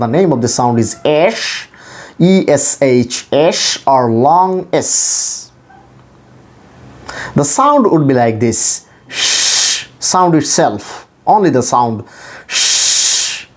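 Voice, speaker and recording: Male pronunciation tutor, slow and deliberate, with lively swings in pitch, explaining step by step and repeating himself. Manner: deliberate, repetitive explaining, sounding out phonemes. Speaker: English pronunciation teacher. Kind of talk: instructional pronunciation lesson to camera or screen.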